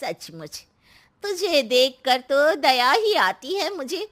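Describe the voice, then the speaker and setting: A woman voicing a meddling middle-aged aunt in a family story, sly and sulky, with taunting, drawn-out sympathy. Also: sits high, sing-song, a bit bright, exaggerated voice. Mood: fake pity. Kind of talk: Hindi moral story voice acting.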